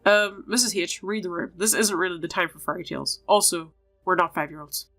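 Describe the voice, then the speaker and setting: A woman's voice: on the low side, fairly even intonation, a little fast, a bit bright, faint music behind. A woman reading story dialogue from a video game aloud, playing the parts herself.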